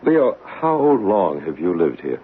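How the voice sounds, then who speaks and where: A calm, lively-voiced paranormal investigator. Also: male voice, deep voice, takes it slowly, slightly rough, heavily acted. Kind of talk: 1970s radio drama acting. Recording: narrow-band old radio broadcast with hiss.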